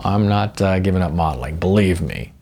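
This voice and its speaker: A paunchy middle-aged fellow, as a male narrator reads him in a storybook. He is modest and good-natured, hesitating and stumbling through his words in a low voice with lively swings of pitch. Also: creaky, full character voice. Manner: halting, hesitant, with ums and stumbles.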